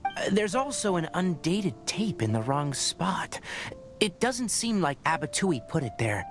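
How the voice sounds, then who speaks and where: An actor playing a composed young male scholar who speaks in a calm, measured, slightly reserved way. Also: a little high, over music. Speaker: scholar and ranger. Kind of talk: video game voice acting.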